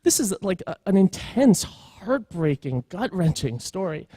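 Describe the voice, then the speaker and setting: Higher-pitched man giving an informal talk. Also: very animated, a little slow, dark tone, husky, dry recording. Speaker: conference speaker, storytelling professional.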